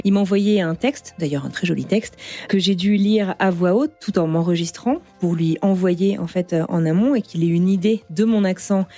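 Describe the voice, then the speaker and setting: A woman's voice: medium pitch, dark tone. A woman hosting a podcast interview, asking curious questions in casual chat. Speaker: podcast host.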